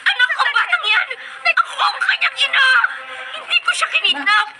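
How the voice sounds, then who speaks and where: A desperate, shrill young Filipino mother. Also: expressive, normal speed, raised voice. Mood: frantic, defiant. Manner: pleading, wailing, emphatic delivery. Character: desperate, defiant young mother fighting for her baby. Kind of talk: Filipino radio drama acting. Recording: noisy, clipped radio drama recording.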